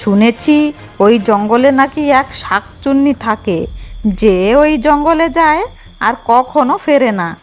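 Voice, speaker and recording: A woman's voice: sits high, unhurried. A woman playing a scheming, bossy elder sister-in-law in a cartoon fairy tale, smug and conspiratorial. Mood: sly, scheming. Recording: music behind the voice.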